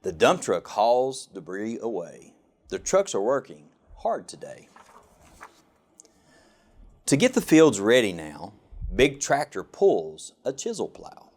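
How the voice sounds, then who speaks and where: A man reading a children's picture book aloud with an expressive, sing-song rhyming delivery. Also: mid-range voice, slow, long pauses. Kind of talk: storybook read-aloud.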